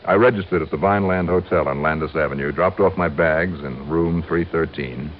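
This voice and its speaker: A man's voice: rumbling low, fairly quick, slight background noise. An American man playing a wry, dogged insurance investigator in a 1950s radio drama, narrating in clipped, punchy phrases. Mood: wry, brisk. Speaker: lead actor and narrator. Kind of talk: 1950s radio detective drama acting, first-person narration. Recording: muffled vintage radio recording with music.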